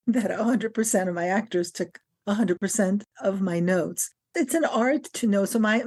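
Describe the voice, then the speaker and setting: A middle-aged woman, her voice sitting a little low. She talks in an articulate, emphatic way, stacking phrase upon phrase as she explains her craft on a podcast. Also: darkish tone. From France. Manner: articulate and emphatic, builds long lists of phrases. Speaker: guest, acting teacher and film director. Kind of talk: podcast interview.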